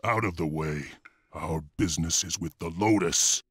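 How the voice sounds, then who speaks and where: A man playing a defiant, commanding leader of an enslaved fantasy clan in a video game, rough-voiced and resolute. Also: sits low, normal speed. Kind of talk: dramatic video game cutscene acting.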